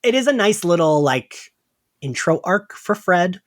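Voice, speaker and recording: Animated, lively-voiced male podcaster. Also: sits high, measured pace. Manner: animated, laughs often, many fillers. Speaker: podcast co-host. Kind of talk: casual chat.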